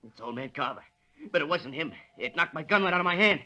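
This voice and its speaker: A thuggish country foreman from radio drama. The voice is fairly high and very sharp, rough-spoken and blustering, a surly villain who spooks easily. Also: expressive, fast talker. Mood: panicky. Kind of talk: 1930s-40s radio drama acting.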